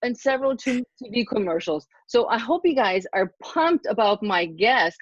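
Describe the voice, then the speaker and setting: A middle-aged woman from Germany hosting a podcast interview, excited and effusive as she introduces her guest. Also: on the high side, normal speed, breathy edge, no echo. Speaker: podcast host. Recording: dull dry lo-fi sound.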